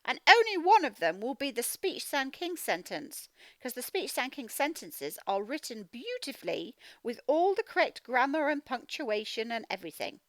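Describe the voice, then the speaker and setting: A woman teacher giving a children's read-aloud phonics lesson, speaking in an animated, emphatic, instructional way and sounding out words. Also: high voice, normal speed, no room sound. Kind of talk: children's read-aloud lesson, explaining directly to young learners.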